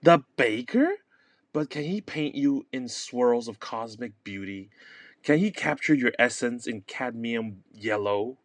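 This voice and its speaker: A man's voice: mid-range voice, lively intonation, a little slow, dry recording, voice pushed up, close to an everyday voice. A man playing a manic, lovelorn painter in a stage comedy, melodramatic and stammering with feverish passion. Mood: smitten.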